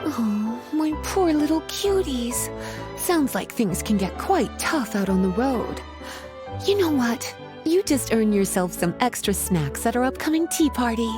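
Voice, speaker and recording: A woman's voice: fairly high pitch, sing-song, unhurried, music in the background. An actress playing a poised, playful, doting fantasy lady in a video game, elegant and coddling in manner.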